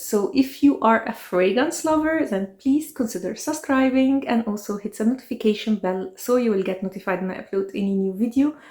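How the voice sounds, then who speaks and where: A woman in her forties from Egypt, hosting a chatty, personal vlog about her life in fragrances. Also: on the high side. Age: 40s. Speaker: fragrance channel host. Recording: echoey room.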